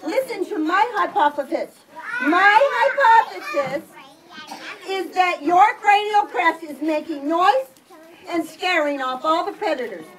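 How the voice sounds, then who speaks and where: A woman voicing an excitable, curious young dinosaur who haltingly questions children in a live puppet show. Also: extremely high pitch, distant mic, full character voice. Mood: earnest. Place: outdoor children's birthday party. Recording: noisy outdoor party, loud children around.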